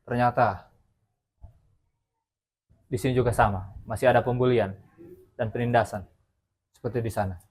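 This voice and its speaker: A man's voice: medium pitch, steady tone, moderate pace, long pauses, darkish tone, breathy edge, close to an everyday voice. A man playing a brooding street fighter, Murayama.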